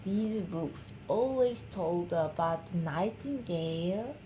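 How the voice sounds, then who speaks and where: Korean-accented mother reading aloud with lively swoops. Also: lowish voice, slight background noise, rough recording. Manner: affectionate, cooing, with drawn-out repeated words. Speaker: mother reading a picture book to her baby. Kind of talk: read-aloud storytelling mixed with playful baby talk.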